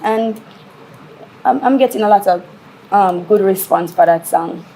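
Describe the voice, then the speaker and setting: A woman's voice: mid-range voice, a little slow, mellow. A woman who works as a dancehall singjay, talking casually about herself as an interview guest. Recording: clearly noisy background ambience.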